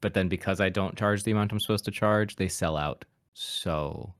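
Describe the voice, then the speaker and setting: A man's voice: low voice, normal speed, background noise. A man with a mid-Atlantic American accent, a podcast guest and voice actor from Appalachia, talking casually and reflectively in an interview, with easy self-deprecating laughs. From Appalachian region of the United States.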